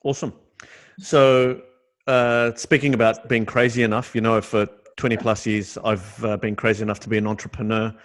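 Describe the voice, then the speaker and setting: A man's voice: fairly low pitch, level tone, moderate pace. A middle-aged man hosting an interview in a casual, upbeat and enthusiastic way. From Sydney, Australia. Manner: upbeat, enthusiastic, friendly questioning. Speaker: interview host.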